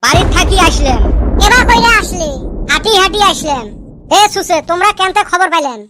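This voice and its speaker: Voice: very high-pitched, lively intonation, a little fast, very noisy. A performer voicing a mischievous, bickering village boy in a comedy cartoon, speaking in the Rajbongshi dialect of northern Bengal. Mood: bossy, scheming. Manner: colloquial, bantering, complaining.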